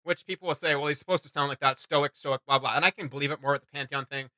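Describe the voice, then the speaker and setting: A man's voice: a little high, fast, pushed and bright, no room sound, clean studio sound. A man hosting a reaction video, giving casual, opinionated commentary in an emphatic, slangy way.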